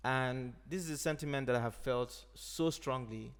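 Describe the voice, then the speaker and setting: A man from Nigeria, an Igbo speaker, talking in a thoughtful, unhurried way as a podcast host. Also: mid-range voice, clear, resonant tone. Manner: thoughtful, unhurried, frequent "you know". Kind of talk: reflective conversation.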